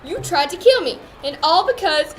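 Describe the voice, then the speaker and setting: High-voiced actress as a scheming femme fatale. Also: female voice, lively intonation, unhurried, a little hiss or hum, low-quality recording. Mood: accusing. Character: scheming young femme fatale playing the victim. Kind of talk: 1940s radio play acting.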